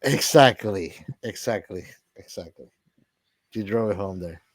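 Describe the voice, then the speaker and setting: A man's voice: deep voice, lively intonation, unhurried, slightly rough, no room sound. Casual man with a dry, close sound. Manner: informal, blunt slangy talk. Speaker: podcast co-host. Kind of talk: casual movie discussion.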